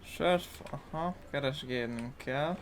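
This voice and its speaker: Gravelly, casual male gamer from Hungary. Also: medium pitch, slow, background noise. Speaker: gaming video host. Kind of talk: casual let's-play commentary.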